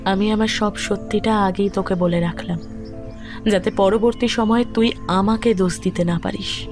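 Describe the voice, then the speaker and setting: A woman with a Kolkata Bengali accent playing a grieving, resolute young widowed mother in an audio story, speaking firmly and deliberately. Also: mid-range voice, steady tone, natural voice. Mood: pained, insistent. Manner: solemn, deliberate, firm. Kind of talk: romantic audio drama dialogue. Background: background music.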